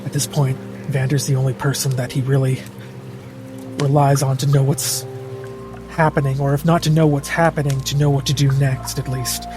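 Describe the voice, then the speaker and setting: A man's voice: mid-range voice, flat delivery, moderate pace. A man playing a tabletop game, describing his character's actions in an understated, tentative way. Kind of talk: roleplay narration with in-character lines. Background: music and rain sounds.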